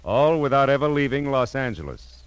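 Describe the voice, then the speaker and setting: A man's voice: mid-range voice, sing-song, a little fast, muffled recording, over loud music, natural voice. A man playing a world-weary, wry private detective in old-time radio noir. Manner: wry, hard-boiled.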